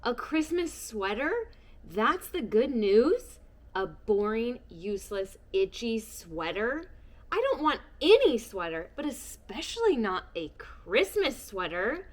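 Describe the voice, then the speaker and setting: A woman reading aloud and voicing an eager little boy who complains in a drawn-out, emphatic way. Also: fairly high pitch, sing-song. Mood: indignant.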